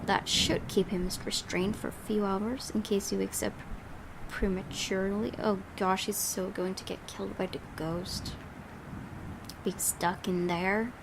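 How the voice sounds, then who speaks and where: A woman's voice: medium pitch, fairly even intonation, unhurried, vocal fry, noisy background. A woman streamer reading a horror visual novel aloud, with joking asides between passages. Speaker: game streamer. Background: game music.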